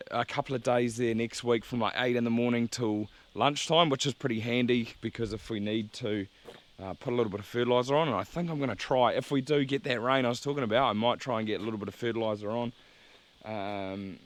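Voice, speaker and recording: Hoarse farmer chatting outdoors. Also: male voice, medium pitch. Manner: relaxed, rambling, thinking aloud. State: hoarse, losing his voice. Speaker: farmer vlogger. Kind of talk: casual chat to camera. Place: outdoors on a farm paddock.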